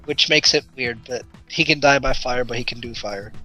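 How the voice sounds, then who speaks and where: A man's voice: mid-range voice, normal speed, bright, forceful tone, soft background music, low-quality recording. A man chatting casually as a player in a tabletop roleplaying game.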